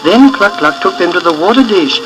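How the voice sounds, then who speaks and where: A man reading a story for children in an expressive storytelling style, as its author, on an old record. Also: high-pitched, fairly quick, low-quality recording.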